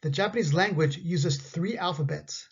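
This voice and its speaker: A man from Canada with a Canadian English accent, teaching an English grammar lesson in slow, carefully spoken example sentences. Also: a little high, breathy edge, highs cut off.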